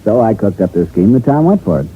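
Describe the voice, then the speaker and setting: An actor in a 1950s radio drama playing a breezy, money-minded town promoter with a brash, slangy, matter-of-fact manner. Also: male voice, on the low side, sing-song, fast, faint music behind. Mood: breezy, frank. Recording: tinny band-limited old radio recording, faint noise.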